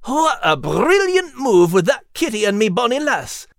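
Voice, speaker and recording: A man's voice: extremely high pitch, big pitch swings, normal speed, creaky, fairly bright tone, no echo, studio quality, voice pushed up, heavily acted. A man voicing a cheerful male dog with a broad brogue.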